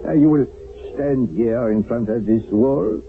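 An actor playing a stern wartime village leader in a radio drama, speaking formally and with deliberate, declamatory delivery. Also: male voice, medium pitch, gravelly. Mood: coldly formal. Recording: muffled narrowband old radio recording, noisy.

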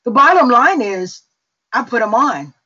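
A middle-aged woman from Mississippi talks with a Southern drawl, pitched a little high and moving very slowly through her story. Her voice comes over a dull, lo-fi call line. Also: animated, pushed and bright, breathy edge. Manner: slow, unhurried storytelling with fillers. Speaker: prayer call host. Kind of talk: testimony on a prayer call.

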